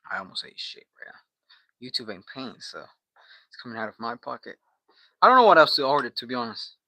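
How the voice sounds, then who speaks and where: A man's voice: mid-range voice, big pitch swings, brisk, pushed and bright, no room sound, rough recording. A man from Guatemala, a native Spanish speaker, chatting casually on a livestream in a slangy, sweary, bro-heavy way.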